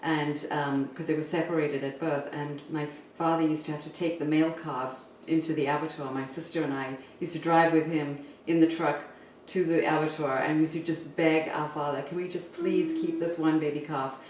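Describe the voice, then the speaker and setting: A woman's voice: low voice, level tone, moderate pace, darkish tone. A middle-aged woman from Zimbabwe hosting a cooking demonstration, explaining casually and instructively as she works. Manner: instructive, talking while cooking. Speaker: home cooking show host. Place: home kitchen, indoors. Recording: very echoey kitchen, distant mic, faint noise.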